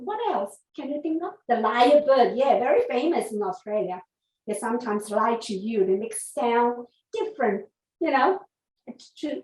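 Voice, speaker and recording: A woman's voice: high-pitched, a little mellow. A woman teacher giving an interactive nature lesson to young children, asking simple questions and answering them herself. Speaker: early learning teacher. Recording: echoey room, distant from mic.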